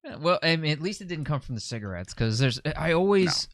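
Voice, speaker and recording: A man's voice: on the high side, sing-song, fairly quick, dry recording. A man hosting a podcast, easygoing and laughing along, often restarting his sentences. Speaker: podcast host. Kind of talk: casual interview chat.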